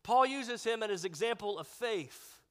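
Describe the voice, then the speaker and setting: A man's voice: high-pitched, expressive, normal speed, fairly bright tone, clear, resonant tone. A man preaching a sermon as pastor, sounding congested and nasal from a sinus infection, in a conversational way with fillers. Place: church sanctuary. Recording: long hall reverb.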